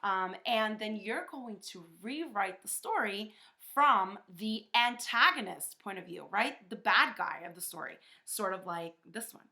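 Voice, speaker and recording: A woman's voice: mid-range voice, animated, measured pace, vocal fry, pushed and bright. A woman teacher talking to young pupils in a conversational way, often checking in with a short questioning tag. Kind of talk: children's lesson talk.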